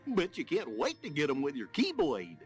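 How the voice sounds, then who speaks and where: A man playing an excitable, loyal cartoon sidekick, eager and emphatic. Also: on the high side, moderate pace. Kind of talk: animated cartoon acting. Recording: music under the voice.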